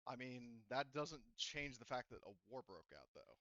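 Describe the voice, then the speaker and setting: A man talking casually as a game master, explaining things in a halting, repetitive way to players. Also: medium pitch, big pitch swings, bright, forceful tone, dry recording, lo-fi sound. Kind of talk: casual unscripted discussion of a tabletop roleplaying game.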